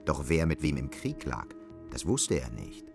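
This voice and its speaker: A man's voice: extremely low pitch, sing-song, a little slow, creaky, over music. A man narrating an audio drama in scripted literary prose.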